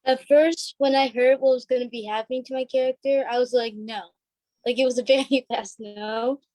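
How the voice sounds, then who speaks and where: A woman's voice: high voice, fairly even intonation, normal speed, warm tone, dry recording. A young woman in her teens chatting informally as an interview guest, a bit hesitant. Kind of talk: casual chat.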